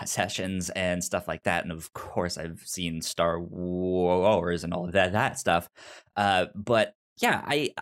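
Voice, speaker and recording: A man with a low-pitched voice chats casually as a podcast co-host. He stammers often, doubling back on words and syllables as he gives his take. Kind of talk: casual review chat.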